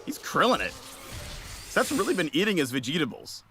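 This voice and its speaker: A man's voice: on the high side, huge pitch swings, fairly quick. Punning male narrator over game music. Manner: playful, punning, upbeat. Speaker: game champion spotlight narrator. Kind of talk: jokey scripted narration.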